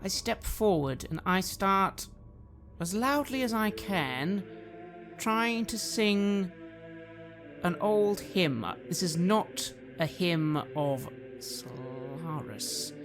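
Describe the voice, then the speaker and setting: A man plays a tabletop game, describing his character's actions in the first person. His delivery is slow and medium in pitch, with faint music behind it. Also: animated. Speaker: player.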